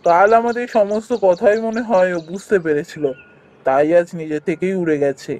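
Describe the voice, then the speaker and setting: A performer playing a poor, gentle, anxious village husband in a fairy-tale cartoon, earnest and deferential in manner. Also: mid-range voice, moderate pace, lightly acted. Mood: calm, reassuring.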